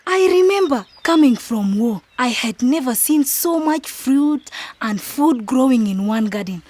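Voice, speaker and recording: An actress playing a timid, dreamy young village wife from South Sudan in a radio drama, earnest in manner. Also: female voice, high voice, expressive, measured pace, a little mellow. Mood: homesick. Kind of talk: radio drama narration and acted dialogue.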